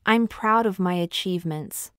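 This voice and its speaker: Smooth, low-pitched woman reading English prompts. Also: unhurried, no room sound, studio quality. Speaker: English translation reader.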